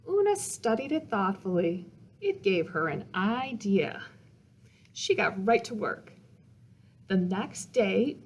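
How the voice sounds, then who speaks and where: A woman's voice: fairly high pitch, darkish tone, slightly rough. A woman reading a children's picture book aloud as a storytime reader, with dramatic emphasis meant to engage young listeners.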